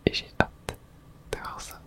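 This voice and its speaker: Soft whispering ASMR gamer. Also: a little slow. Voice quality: soft breathy unvoiced whisper. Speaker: video game streamer and host. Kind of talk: whispered ASMR game playthrough.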